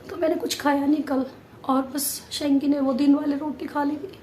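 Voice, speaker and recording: A woman vlogger chatting casually to viewers, her voice hoarse and nearly gone, pushing hard to get the words out. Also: high voice, flat delivery, moderate pace, dark tone, slight background noise. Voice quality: strained, forced voice.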